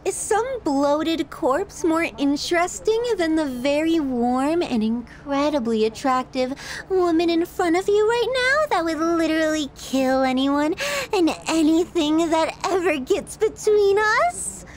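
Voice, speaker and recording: A woman's voice: very high voice, animated. A woman playing a possessive, sadistic yandere girlfriend in an ASMR roleplay, cooing and teasing with sweet menace. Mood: smug, possessive. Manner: cooing, drawn-out, teasing, clingy delivery.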